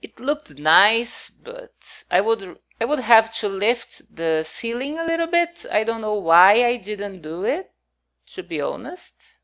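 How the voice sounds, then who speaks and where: A woman's voice: mid-range voice, sing-song, takes it slowly, fairly bright tone, highs cut off. A woman whose first language is not English, talking casually over her game build in a hesitant, rambling way with many fillers.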